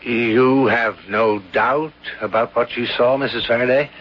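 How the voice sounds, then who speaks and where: An actor playing a reserved, precise zoology professor in a radio drama, speaking in a measured, hesitant way. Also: male voice, mid-range voice, fairly even intonation, lightly acted. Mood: grave, probing. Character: reserved zoology professor, reluctant believer in ghosts. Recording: dull, faintly noisy old radio broadcast.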